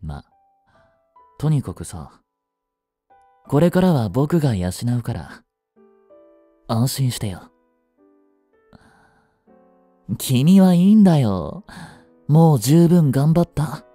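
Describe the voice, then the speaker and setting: A young man acting a jealous, possessive yandere boyfriend in a romantic voice drama, with a soft, coaxing, controlling manner that is sweetly menacing. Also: a little high, sing-song, takes it slowly, long pauses, dry recording, faint music behind, full character voice. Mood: soothing, possessive. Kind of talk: Japanese romantic situation voice drama.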